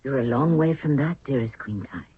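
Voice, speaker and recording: A woman's voice: a bass voice, fairly quick, slightly rough, dry recording, exaggerated voice. An actress playing a devoted young Egyptian queen in a 1970s radio drama, earnest and formal. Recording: narrow, tinny 1970s radio recording.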